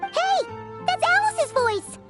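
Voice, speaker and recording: Casting: tiny childlike game fairy, extremely high squeaky voice, very animated delivery. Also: female voice, slow pace, exaggerated voice. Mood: surprised. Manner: refers to self in third person, exclamatory. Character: tiny excitable childlike fairy companion girl. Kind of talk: anime game voice acting. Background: playful game music.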